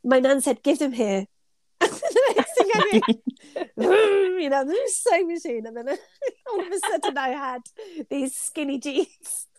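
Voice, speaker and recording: British woman, middle-aged, congested from a cold, rambling and chatty, higher voice. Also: big pitch swings, measured pace, darkish tone, no room sound, clean studio sound. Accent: British English. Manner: chatty, rambling storyteller with frequent ums and laughs. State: stuffed up with a cold. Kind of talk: casual conversational interview.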